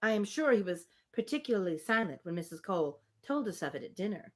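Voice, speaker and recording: A woman reading a gently persistent married woman from a Regency novel, formal, teasing and persuasive. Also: medium pitch, big pitch swings, fast. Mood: eagerly persuasive.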